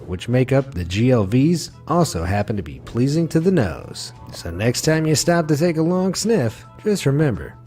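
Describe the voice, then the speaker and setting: A man reading a scripted facts video as narrator, with a smooth, wry delivery. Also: medium pitch, darkish tone.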